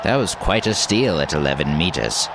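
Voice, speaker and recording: A man's voice: extremely low pitch, expressive, moderate pace, gravelly, background noise, heavily acted. A man playing a lead sports announcer in a comedy radio broadcast. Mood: showy. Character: male lead sports announcer at absurd Olympics. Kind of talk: parody sports commentary.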